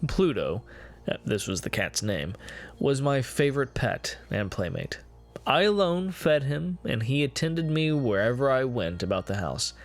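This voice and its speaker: A man gives a dramatic reading of a gothic horror story, with measured, deliberate phrasing and dramatic pauses. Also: mid-range voice, sing-song. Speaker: amateur reader of a classic horror story. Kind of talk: dramatic reading of gothic first-person fiction. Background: music behind the voice.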